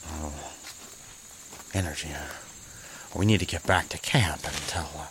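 A man playing a bumbling, blustering demon henchman in a fantasy audio drama, halting and sarcastic with breathy exclamations. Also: rumbling low, fairly bright tone, a little hiss or hum, poor audio. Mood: hushed, puzzled.

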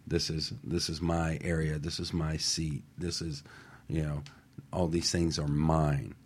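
A man's voice: extremely low pitch, flat delivery, a little slow, a little mellow, husky. A man in a casual radio discussion, explaining ideas step by step and often checking in with the listener.

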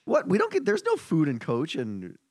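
A man co-hosting a comedy podcast, reading out news stories in a jokey, animated way. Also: a little high, normal speed, dry recording, studio quality. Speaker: podcast host. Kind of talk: casual comedy chat.

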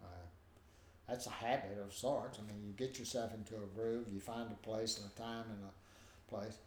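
An older man with a noticeably nasal voice tells stories as a guest, lively and acting out the people he quotes. His voice rings in a roomy space with a faint hum of ambience behind it. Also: on the low side, normal speed. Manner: animated, acts out quoted voices. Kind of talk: storytelling in a conversation.

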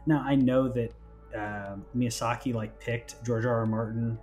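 A man's voice: medium pitch, steady tone, unhurried, darkish tone, faint music behind. A man talking casually as a podcast host, rambling and often restarting his phrases. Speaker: podcast host on a gaming news show. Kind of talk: casual conversational chat.

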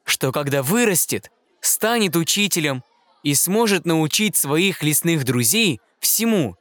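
A man narrates a children's fairy tale gently and expressively. He takes an unhurried pace, and his pitch moves up and down in a lively way. The recording is dry and intimate, with no room sound. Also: no echo. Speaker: reader of children's fairy tales. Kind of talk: scripted storybook narration.

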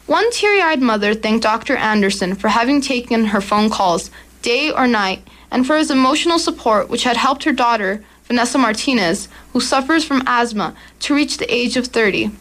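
Teen girl reading her radio commentary, earnest, kinda high voice. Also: lively intonation, normal speed, fairly bright tone. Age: teens. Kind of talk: read commentary.

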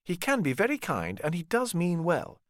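A man reading an audiobook, voicing a gentle, conciliatory well-to-do mother and grandmother in a soft voice. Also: high-pitched, huge pitch swings, normal speed, no echo, clean studio sound, pitched above the performer's own voice. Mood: gently reproving. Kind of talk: audiobook character dialogue.

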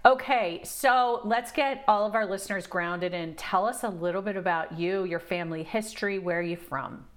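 A woman's voice: mid-range voice, moderate pace, few pauses. A woman hosting a podcast, introducing her guest and asking questions in a bubbly, familiar way. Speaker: podcast host. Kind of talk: casual interview hosting.

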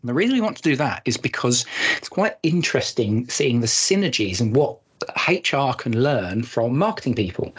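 A husky-voiced man hosts a podcast and talks at length before bringing in his guests. He chats loosely and rambles while he sets up each question. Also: medium pitch, very animated, moderate pace. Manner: chatty, rambling. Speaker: podcast host. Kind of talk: casual podcast hosting.

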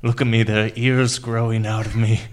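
A man playing an old, frail lab rat, gently and haltingly pleading in improvised radio theater. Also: lowish voice, level tone, natural voice. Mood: weary pleading. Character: old, frail, much-experimented lab rat, weary and kindly.

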